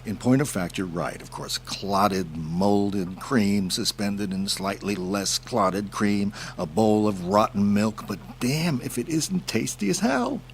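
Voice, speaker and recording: An actor playing an irreverent covert boss in an audio drama, husky and casual, with a teasing, enthusiastic way of talking. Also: male voice, on the low side, lively intonation, takes it slowly, few pauses, a little hiss or hum. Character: playful, irreverent superior agent, a covert male boss.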